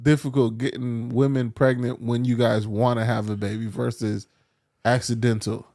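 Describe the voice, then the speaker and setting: Male co-host for casual podcast banter, average pitch, blunt delivery, heavy slang and profanity. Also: little pitch movement, moderate pace, darkish tone.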